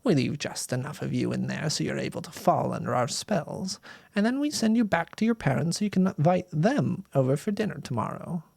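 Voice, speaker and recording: A man's voice: fairly high pitch, lively intonation, brisk, creaky, a little mellow, slightly rough, no room sound, studio quality, heavily acted. A man voicing a sly, soul-eating old woman witch in a storybook reading, sweetly menacing and theatrical. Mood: gloating menace.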